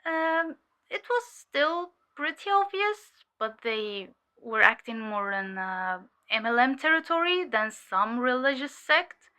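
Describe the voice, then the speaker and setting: Woman acting bright-voiced female undercover infiltrator. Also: high-pitched, very animated, clear, resonant tone, full character voice. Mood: matter-of-fact. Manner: hesitant, measured recounting. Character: weary, sharp female undercover cult infiltrator giving testimony. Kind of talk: audio drama dialogue, debrief testimony.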